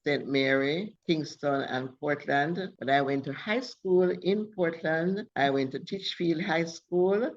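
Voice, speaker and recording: A woman from Jamaica with a Jamaican accent, a guest author telling her background in a measured way, listing many details. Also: low voice, highs cut off. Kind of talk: interview.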